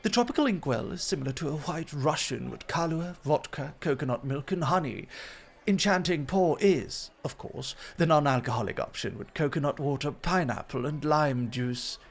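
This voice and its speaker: A man acts out a flirty male dog host in an ASMR roleplay club. His voice sits a little high for a man, and he speaks in polished, formal phrases. He is coy and suave, always teasing his rival and guest. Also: expressive, moderate pace, creaky. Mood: suave, attentive.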